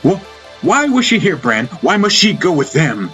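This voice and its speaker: Man as young warrior, earnest, anxious, questioning, bright tense tone, audiobook dialogue. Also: a little high, big pitch swings, normal speed, soft background music, pitched above the performer's own voice. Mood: distressed.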